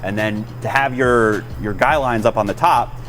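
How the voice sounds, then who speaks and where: A man's voice: fairly low pitch, normal speed, a bit bright, soft background music. An American man in the role of rescue chief, giving an informal explanatory tour of equipment in practical, plain-spoken trade jargon.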